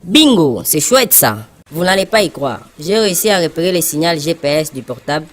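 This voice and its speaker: A woman's voice: sits low, big pitch swings, fairly quick. A woman playing a helpful, eager boy from a gang of kids in a cartoon. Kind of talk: animated cartoon dialogue.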